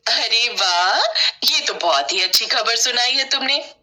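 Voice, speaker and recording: A woman's voice: a little high, expressive, measured pace, sharp tone, full character voice, low-quality recording. A woman voicing a kind, simple village sister-in-law who is caring and eager to please in a family story. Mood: delighted, affectionate.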